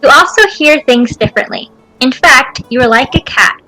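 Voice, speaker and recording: A woman's voice: high-pitched, moderate pace, no echo, low-quality recording. A woman reading a children's picture book aloud. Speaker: reader. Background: soft music.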